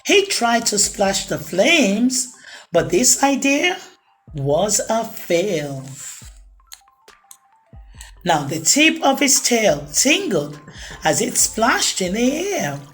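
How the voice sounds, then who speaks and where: Female storytime narrator: expressive and animated, unhurried delivery for young children, over music. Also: on the high side. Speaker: children's storytime reader and host.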